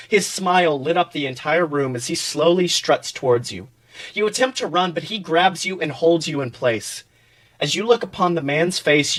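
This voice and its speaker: Male podcast narrator, somewhat high pitch, theatrical second-person storytelling. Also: fairly bright tone. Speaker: podcast host reading fan fiction.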